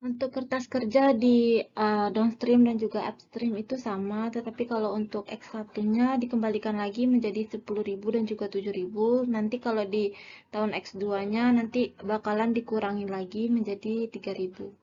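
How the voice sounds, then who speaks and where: A young woman gives an explanatory class presentation as a student, haltingly reading out figures. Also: mid-range voice, level tone, warm tone. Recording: dull lo-fi audio, clear background noise.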